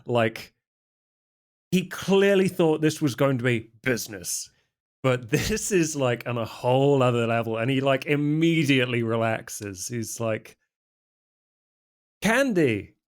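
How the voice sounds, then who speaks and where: A man's voice: mid-range voice, measured pace. A man playing a tabletop game, casually improvising what his character does.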